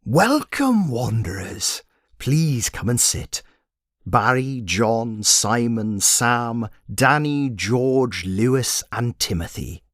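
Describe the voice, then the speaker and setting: A man voicing a childlike, serene mystical guide in an audiobook story, speaking slowly and ceremoniously in riddles. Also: mid-range voice, lively intonation, fairly bright tone, no room sound, clean studio sound. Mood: welcoming.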